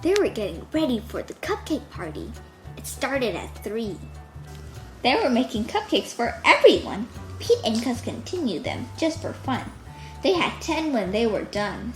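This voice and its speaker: A woman reading a children's storybook aloud in an expressive, deliberate narrating style. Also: fairly high pitch. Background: music.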